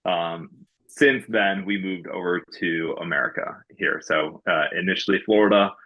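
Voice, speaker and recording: Low-voiced guy from norway, podcast guest, rambly with ums, kinda muffled audio. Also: steady tone, normal speed. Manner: many fillers and restarts, earnest storytelling. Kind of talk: podcast interview.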